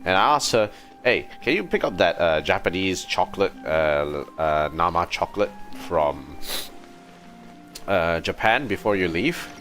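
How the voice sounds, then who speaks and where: A man chatting casually as a video game streamer, telling things in an animated, exasperated way. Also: low voice, a little slow. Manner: animated storytelling with exasperated asides. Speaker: livestreamer playing a video game. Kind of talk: casual rambling livestream chat. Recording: music behind the voice. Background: game music.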